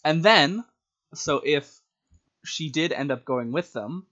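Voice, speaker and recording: A man's voice: on the high side, lively intonation, slow pace, fairly bright tone. Dull lo-fi man chatting through stories. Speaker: storyteller and tabletop game player. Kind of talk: casual chat recounting story ideas. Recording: dull, lo-fi sound.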